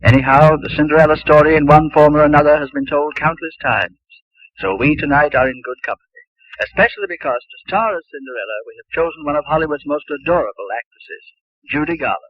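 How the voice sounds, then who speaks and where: A man's voice: on the high side, fast talker, dry recording, highs cut off. A man hosting a 1950s radio drama, reading a scripted introduction over music on an old broadcast recording. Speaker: radio anthology host.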